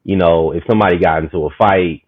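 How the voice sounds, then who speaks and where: Guest: man, 30s, from Maryland, rambling storyteller, low voice, phone-quality audio, dry. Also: quick pace, no room sound. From Maryland, USA. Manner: animated, rambling, full of "you know what I'm saying". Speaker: guest, community market founder. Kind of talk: casual storytelling conversation.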